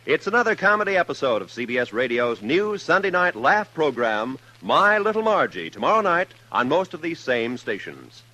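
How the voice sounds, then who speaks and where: An American man reads as a vintage radio announcer. His delivery is polished and theatrical, with swooping, animated pitch and a bright, forceful tone. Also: normal speed, hardly any pauses, rough recording. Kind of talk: 1950s radio announcing, scripted promo.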